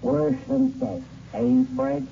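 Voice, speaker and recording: An actor playing a taunting ghost who gloats over his victim in drawn-out, creaky 1960s radio horror style. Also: very high voice, huge pitch swings, dark tone, exaggerated voice. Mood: sinister glee. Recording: muffled, noisy old radio recording, distant.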